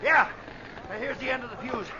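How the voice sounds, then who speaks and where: Radio drama: nervous blasting-crew hand, extremely high voice, dull old recording. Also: male voice, brisk, background noise. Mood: anxious, hurried. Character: anxious frontier dynamite-crew workman.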